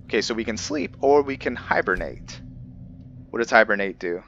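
Male gameplay host, low but forceful, explains casually and haltingly, faint game ambience behind. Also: lively intonation, muffled recording, a little hiss or hum. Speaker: gaming video host. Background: video game ambience.

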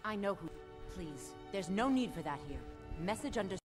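A woman's voice: medium pitch, expressive, normal speed, lightly acted. Woman acting an anxious innkeeper under game music. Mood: nervous. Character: anxious female innkeeper, grudgingly submissive. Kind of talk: video game character acting.

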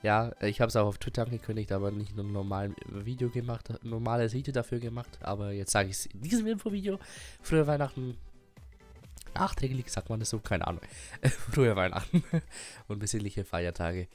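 A man's voice: on the low side, expressive, fairly quick, darkish tone, soft background music. A young man with a cold giving a casual, rambling channel update with many fillers and little laughs. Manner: rambling, many fillers and restarts, frequent chuckles. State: has a cold. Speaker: gaming YouTuber and streamer.

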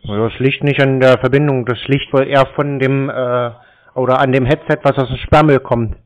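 A man's voice: mid-range voice, steady tone, normal speed. A man talking casually on a phone call in a terse, needling manner, giving short replies and pointed questions. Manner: laconic, needling, repeats questions. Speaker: prankster taking scam calls. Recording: slightly dull, lo-fi call audio.

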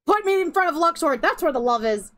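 High-voiced lady ranting at a game, bright and loud, bursts out laughing a lot, quiet music under it. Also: animated, fast talker. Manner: exasperated, loud outbursts and laughter. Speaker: streamer. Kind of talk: ranting gameplay commentary.

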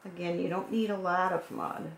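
A woman with a hoarse, froggy voice calmly talking through a craft painting tutorial at her work table. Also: on the low side, moderate pace. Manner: calm, gentle instructional talk while working. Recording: echoey room with faint noise.

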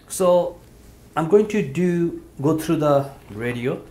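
A man's voice: fairly high pitch, takes it slowly. Easygoing man reviewing a gadget. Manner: relaxed, filler-heavy, says "you know" often. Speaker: product reviewer. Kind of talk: casual hands-on product demonstration. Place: indoor room at a table.

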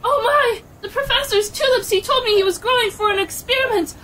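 A woman playing a harried, high-strung babysitter in a comedic audio drama, exclaiming melodramatically. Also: normal speed, bright, forceful tone, slight background noise, poor audio. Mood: frantic.

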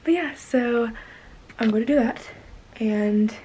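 A woman's voice: fairly high pitch, slow, dark tone, rough recording. A girl in her early teens chatting casually to camera in a daily vlog, rambling and often trailing off. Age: young teenager, about 13. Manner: rambling, trailing off with "but yeah". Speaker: vlogger. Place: bedroom at home, sometimes inside a car. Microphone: camera held at arm's length. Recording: clear background noise, handheld camera audio.

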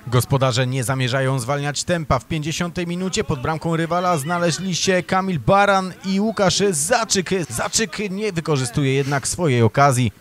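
A man reading a scripted voice-over of youth football match highlights, narrating each play in steady Polish. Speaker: match commentator.

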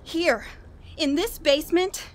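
A woman playing an unhinged, guilt-ridden killer confessing in a halting, dramatic way in a radio horror drama. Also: very high voice, a little hiss or hum. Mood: feverish, confessing. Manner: dramatic, halting, broken by manic laughter. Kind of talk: old-time radio horror drama monologue.

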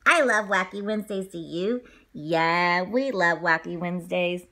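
A woman's voice: on the low side, measured pace, pushed and bright. A woman doing a playful children's read-along, exclaiming, repeating phrases and adding her own asides. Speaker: storybook reader for children.

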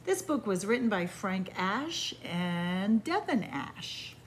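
Slow, lilting storytime librarian woman. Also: medium pitch, sing-song, a little hiss or hum. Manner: slow, sing-song storytelling with soft hushed asides. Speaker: children's librarian reading aloud. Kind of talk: picture-book read-aloud for young children. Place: library with bookshelves, indoors.

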